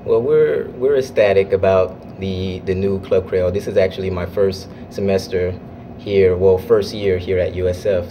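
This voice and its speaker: A man's voice: low voice, muffled recording, noisy background. A young man from Florida, a student club officer, answering interview questions earnestly with frequent restarts. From United States (Florida). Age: young adult. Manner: earnest, halting with restarts and fillers.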